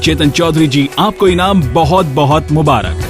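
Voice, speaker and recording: A man hosting a radio programme, reading scripted narration and instructing listeners in a deliberate way. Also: fairly high pitch. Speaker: radio show host. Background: music bed.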